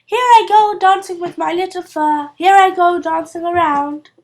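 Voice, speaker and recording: A woman voicing a bold, cheerful, mischievous young girl in a storybook reading, with a loud, declarative manner. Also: extremely high pitch, normal speed, voice pushed up. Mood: cheerful singing.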